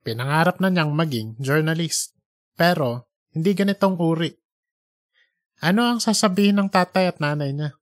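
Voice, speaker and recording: A man's voice: fairly high pitch, a bit bright, dry recording. A man works as a podcast storyteller. He reads scripted audiobook narration, and the recording is close and dry, with no room sound.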